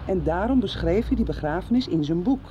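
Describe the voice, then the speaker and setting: A woman's voice: on the low side, animated, brisk, natural voice. An actress playing an earnest young woman who speaks formally and takes charge to protect her friends in a radio soap. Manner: earnest, formal, taking charge and making plans. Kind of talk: 1990s radio soap acting. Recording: dull lo-fi radio recording with faint street noise.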